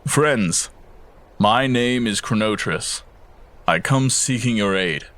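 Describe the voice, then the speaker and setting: A man playing a calm, wise and foreboding seer in a fantasy audio drama, speaking in a measured, solemn way. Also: fairly low pitch. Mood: composed.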